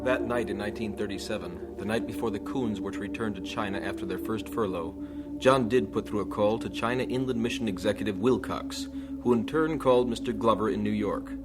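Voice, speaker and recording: A man's voice: on the low side, fairly quick. A man narrating a scripted radio drama as the storyteller between scenes. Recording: radio studio recording with music underneath. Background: clear music.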